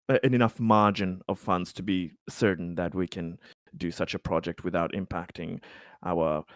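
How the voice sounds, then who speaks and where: Guy roleplaying a careful colony councilman, lowish clear voice, hesitates a lot, kinda dry sound. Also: darkish tone, dry recording. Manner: hesitant, halting, deliberative delivery. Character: cautious, budget-minded male colony council member. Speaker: player in a tabletop roleplaying game. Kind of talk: improvised in-character tabletop roleplay.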